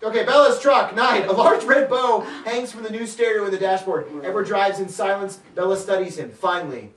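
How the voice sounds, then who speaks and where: A man's voice: high-pitched, a little fast, a bit bright. A man reading stage directions aloud in a live comedic script reading, playful, often stumbling and breaking into asides. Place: echoey room with an audience. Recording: echoey room, distant mic, audience noise.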